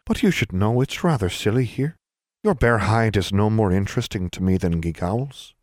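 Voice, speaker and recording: A man's voice: fairly low pitch, expressive, normal speed, no room sound, pitched above the performer's own voice, close to an everyday voice. Guy doing a sly old elf, stiff formal way of talking, dry sound. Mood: formal, wary. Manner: formal, old-fashioned phrasing. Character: crafty old male hill elf, formal and proud. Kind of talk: audiobook character voice.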